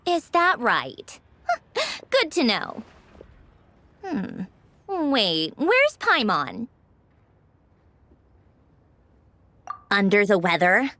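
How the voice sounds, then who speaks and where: A woman's voice: fairly high pitch, wildly swooping pitch, drawn-out words, pauses often, fairly bright tone, full character voice. An actress playing a refined, haughty and teasing noblewoman in a video game, with a poised, arch delivery. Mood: coolly probing. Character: haughty, teasing, refined lady harbinger who loves tea. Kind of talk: scripted game cutscene acting.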